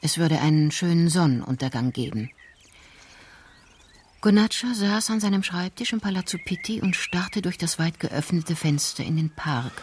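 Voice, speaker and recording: A man's voice: a little high, a little mellow, slight background noise. A man reading scripted narration for a radio crime drama. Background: music.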